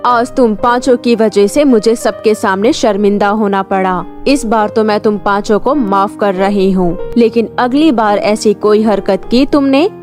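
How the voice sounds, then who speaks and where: A woman's voice: mid-range voice, a little slow, hardly any pauses, darkish tone, faint music behind, natural voice. A woman voicing a stern but caring fairy queen and mother in a storybook tale, speaking with authority. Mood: scolding.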